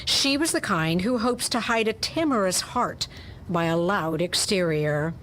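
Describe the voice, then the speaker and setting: A woman's voice: fairly low pitch, expressive, a little slow, a bit bright, slight background noise. A woman reading scripted, dramatic narration for a hardboiled detective radio story.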